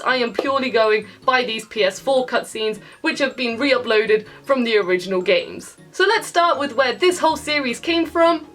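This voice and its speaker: A British woman with a high voice talks casually as she hosts a game review. She is chatty and jokey and drops in playful slang. Light music sits behind her. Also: fairly bright tone. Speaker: host of a video game review channel. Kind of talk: casual comedic review to camera.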